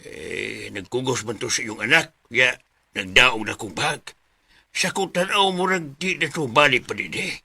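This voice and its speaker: A man with a Cebuano Filipino accent acting a hesitant, husky neighbour in a radio drama. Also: mid-range voice, lively intonation, unhurried, sharp tone, exaggerated voice.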